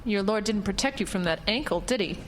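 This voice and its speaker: A woman acts out a persistent, earnest woman estate agent for an audio drama. She is direct and insistent in a medium-pitched voice. There is faint noise in the background. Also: animated, fairly quick, close to an everyday voice. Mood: hurt, bitter.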